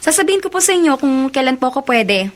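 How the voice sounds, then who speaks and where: An actress playing a polite, considerate young student daughter in a radio drama. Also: female voice, high voice, sing-song, quick pace, noisy background. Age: young adult.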